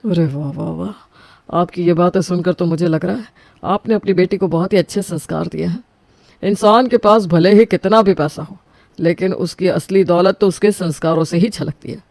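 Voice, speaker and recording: Rich, stately father-in-law for a story, acted by a man, high-pitched, gravelly. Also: moderate pace, darkish tone, no echo. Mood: impressed. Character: wealthy, approving middle-aged prospective father-in-law.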